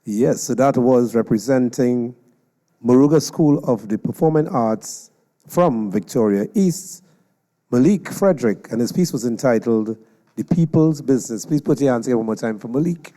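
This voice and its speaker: Muffled, dark-voiced male stage announcer. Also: mid-range voice, moderate pace. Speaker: master of ceremonies.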